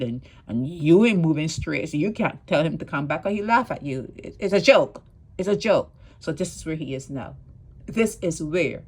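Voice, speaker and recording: A woman's voice: low-pitched, a little mellow, slightly rough. A woman giving casual, emphatic commentary on a court case, repeating her points and checking in with "okay?".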